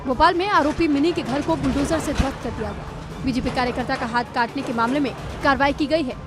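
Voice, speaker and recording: High-pitched woman reading scripted news over music. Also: fast. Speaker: news presenter. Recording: music bed under voice.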